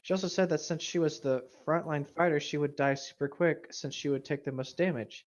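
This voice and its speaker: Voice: mid-range voice, fairly even intonation, fairly quick. A person co-hosting in a casual style, moving between informal story reading and brief conversational reactions. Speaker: co-host reading stories and reacting. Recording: dull, muffled lo-fi microphone.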